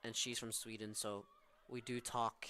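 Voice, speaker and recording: A man's voice: mid-range voice, flat delivery, unhurried, bright, forceful tone, a little hiss or hum. A young man from southern Norway with a Norwegian accent, calling live college soccer with tactical analysis and frequent fillers. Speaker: play-by-play commentator.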